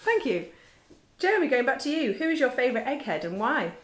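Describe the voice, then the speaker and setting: A woman interviewing a quiz team, asking each member brisk, polite questions. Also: sits high, sing-song, fairly bright tone. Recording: echoey room.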